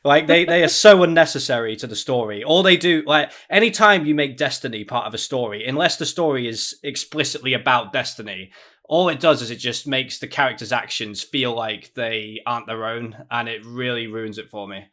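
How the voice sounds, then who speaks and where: A man's voice: mid-range voice, brisk, pushed and bright. A man in a casual podcast discussion, giving opinions in loose, conversational phrases peppered with "like". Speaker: podcast panelist.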